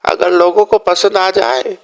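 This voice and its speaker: Man voicing a sly, high-pitched greedy merchant. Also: steady tone, a little slow, gravelly. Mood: appraising.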